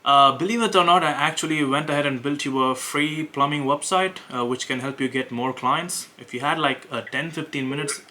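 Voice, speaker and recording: A young man with an Indian accent, talking casually to camera and pitching on sales calls, hesitant with many fillers and restarts. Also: fairly quick, hardly any pauses, sharp tone, slight background noise. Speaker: web design agency owner making cold calls.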